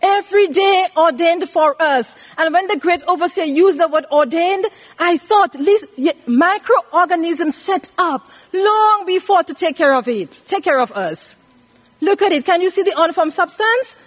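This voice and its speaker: High-pitched, slow-preaching elderly woman. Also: expressive. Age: 80s. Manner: emphatic, repeats phrases, puts questions to listeners. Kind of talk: sermon teaching from scripture.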